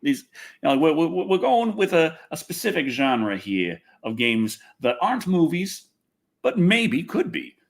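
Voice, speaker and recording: A man hosting a livestream and reviewing films in casual, excitable, rambling chat, repeating himself and swearing freely, with a husky voice. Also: fairly high pitch, unhurried. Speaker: livestream host and film reviewer.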